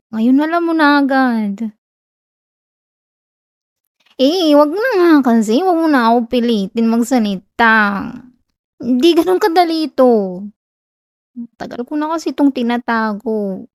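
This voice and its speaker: A woman playing a shy, whiny tsundere best friend in an ASMR romance roleplay, with a Filipino accent and a stammering, halting delivery. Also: sits high, darkish tone, no echo, studio quality. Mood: pouty, exasperated.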